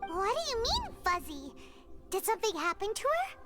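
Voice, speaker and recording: Very squeaky, excitable tiny companion. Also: female voice, moderate pace, exaggerated voice. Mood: puzzled, insistent. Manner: exclamatory, questioning. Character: tiny excitable childlike companion, curious and blunt. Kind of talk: video game voice acting. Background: background music.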